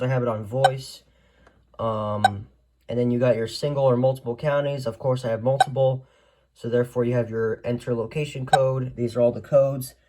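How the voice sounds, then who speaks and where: A man giving a casual, unscripted product demo in a rambling, hesitant way, often trailing off into "so yeah". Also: medium pitch. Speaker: hobbyist video maker. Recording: clearly noisy amateur home recording.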